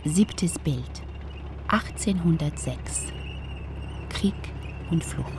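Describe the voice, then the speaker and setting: A woman's voice: low-pitched, expressive, extremely slow, noisy background. A woman announcer giving scripted headings in a radio documentary drama, factual and precise. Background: faint music.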